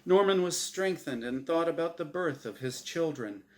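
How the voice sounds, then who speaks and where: A man reading his own story aloud as a dramatic, humorous narration, deliberate and expressive. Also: on the high side.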